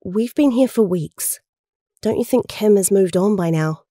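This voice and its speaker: Mellow-voiced earnest young heroine played by a woman. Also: mid-range voice, expressive, a little slow, no echo, studio quality. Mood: jealous, accusing. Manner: earnest, pleading. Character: Kat, lovelorn, outspoken young female hero. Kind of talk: amateur audio drama acting.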